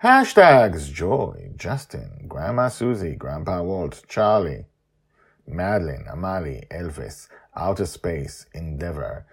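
A man hosting a story podcast and reading his own tale in an animated, emphatic way with short dramatic phrases. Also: deep voice, slow, creaky, husky.

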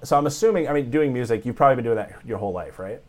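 A man hosting a casual interview, upbeat and quick to laugh and agree. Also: mid-range voice, lively intonation. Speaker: podcast host. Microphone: remote call.

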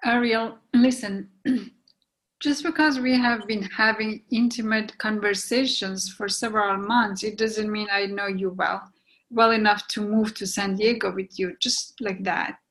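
A woman's voice: medium pitch, fairly even intonation, measured pace, a bit bright, rough recording. A woman playing a guarded, apologetic young woman who is torn and hesitant, in a readers theatre reading. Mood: regretful. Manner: hesitant, regretful.

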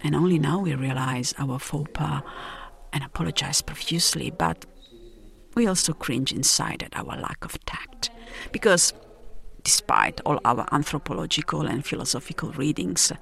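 A woman reading a literary first-person travel story, reflective and dramatic, with pauses between phrases. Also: low-pitched, expressive, gravelly, a bit bright. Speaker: narrator.

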